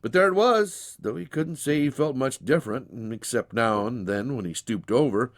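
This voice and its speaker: A man narrating a literary tale as a storyteller, with an expressive, folksy, dramatized delivery. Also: mid-range voice, moderate pace.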